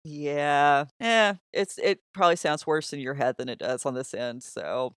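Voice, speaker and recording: A woman's voice: sits low, unhurried, smooth, no room sound, clean studio sound. A woman co-hosting a podcast, talking through scripture in a casual, conversational and explanatory way. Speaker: podcast co-host. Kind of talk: casual Bible discussion.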